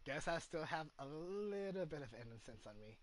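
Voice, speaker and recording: A man giving casual, halting reaction commentary as he streams a video game, often repeating his words. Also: fairly high pitch, expressive. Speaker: gaming streamer. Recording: faint game music behind.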